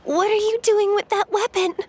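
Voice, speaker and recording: A woman's voice: very high voice, steady tone, normal speed, a little mellow, slight background noise. A woman voicing a traumatised young warrior girl, an anxious and protective big sister, in a video game. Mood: fearful, torn.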